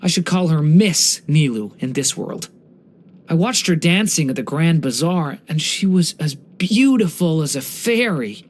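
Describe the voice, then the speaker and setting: A young dragon boy in human form from a video game. He is gentle, earnest and polite, with a storyteller's manner. His pitch moves about in a lively, expressive way. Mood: bright, polite. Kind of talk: English video game character voice lines.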